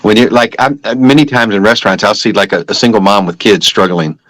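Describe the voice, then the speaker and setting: A man's voice: fairly low pitch, fast talker, fairly bright tone, no echo, rough recording. A man speaking as a podcast guest, telling personal testimony conversationally and emphatically, acting out bits of dialogue.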